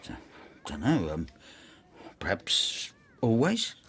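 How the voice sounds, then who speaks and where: An actor playing a bitter, hard-drinking old husband in a radio drama, brooding and sardonic with a husky voice. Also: male voice, on the low side, wildly swooping pitch, takes it slowly, fairly bright tone, exaggerated voice. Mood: pleading. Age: older. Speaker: lead actor and narrator. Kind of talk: radio drama acting, confessional narration.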